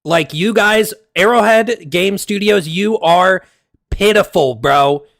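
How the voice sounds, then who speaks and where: A man streaming and ranting casually, heated and exasperated, swearing often, his voice going hoarse. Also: sits high, takes it slowly, a bit bright, dry recording, studio quality. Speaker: game streamer. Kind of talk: casual livestream rant.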